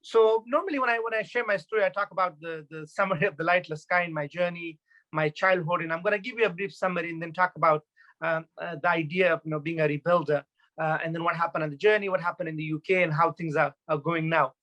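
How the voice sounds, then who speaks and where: A man from Afghanistan in his thirties shares his thoughts as a guest speaker. He talks fast and earnestly, often restarting sentences and dropping in fillers. His voice sits a little high for a man. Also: steady tone, a bit bright, highs cut off. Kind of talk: personal talk at an online event.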